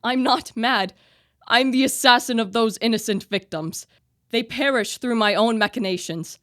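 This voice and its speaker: A woman's voice: a little high, normal speed, a bit bright, no echo, clean studio sound, close to an everyday voice. A young woman playing a tormented, guilt-ridden young man in a radio play, with earnest, formal literary diction. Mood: anguished, guilty. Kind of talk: student radio play acting.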